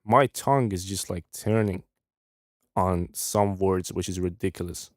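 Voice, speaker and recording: A man's voice: low voice, measured pace, no echo, studio quality. A young man from Iran, hosting a live stream and giving casual, friendly feedback on music, often thinking aloud and restarting his sentences. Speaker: host. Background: faint music playing.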